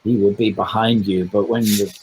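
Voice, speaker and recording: A man's voice: fairly low pitch, fairly even intonation, moderate pace, a little mellow, a little hiss or hum, poor audio. A man speaking as a historian guest in a conversational book talk, thoughtful and digressive, with frequent hesitations.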